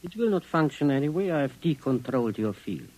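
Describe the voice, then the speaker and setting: An actor playing a calm, condescending male alien from Venus in a 1950s radio drama, with a lively delivery and a dry sound. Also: mid-range voice, brisk, dry recording, poor audio. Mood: cool menace.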